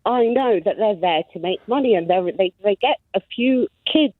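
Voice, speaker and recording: A woman's voice: mid-range voice, expressive, moderate pace, no room sound. A woman in her thirties from Somalia calls in to a radio show and talks earnestly, piling up detail. Her voice comes through a tinny, narrow phone line. It sounds dry, with no room around it. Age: 30s. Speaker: caller. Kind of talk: phone-in call.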